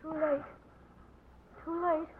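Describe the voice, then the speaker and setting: A woman's voice: high-pitched, level tone, slow pace. An actress playing a frightened, desperate young noblewoman giving urgent warnings in a 1940s radio drama. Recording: muffled old radio broadcast with hiss.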